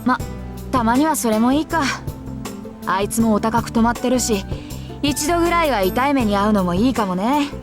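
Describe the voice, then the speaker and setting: Female actor for tomboyish game schoolgirl: teasing, brash, quick, slightly high, over clear music. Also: lively intonation, natural voice. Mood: mischievous.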